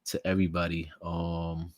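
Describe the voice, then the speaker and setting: A man's voice: low-pitched, monotone, normal speed, dry recording. A man hosts a live online show, chatting loosely in slang and thanking viewers by name. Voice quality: raspy. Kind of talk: casual livestream chat.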